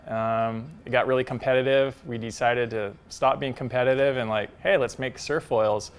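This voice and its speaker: Fast-talking, eager middle-aged man. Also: mid-range voice, fairly even intonation, clear, resonant tone. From Hawaii. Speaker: company co-founder. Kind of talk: casual promotional chat.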